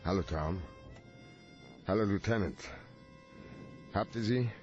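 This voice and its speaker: An actor playing a hard-boiled, cynical private detective in a radio play, with a rough-edged voice and a blunt way of arguing point by point. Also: male voice, sits low, takes it slowly, muffled recording, over music. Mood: brisk, businesslike.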